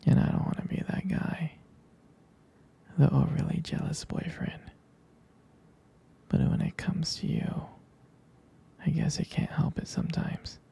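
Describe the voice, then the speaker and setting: Breathy whispering boyfriend, acted by a man. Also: a little high, steady tone, warm tone, heavily acted. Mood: jealous, hesitant. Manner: hesitant, intimate, halting delivery. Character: tender, jealous, devoted boyfriend soothing his partner. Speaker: ASMR roleplay performer. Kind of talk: whispered boyfriend ASMR roleplay.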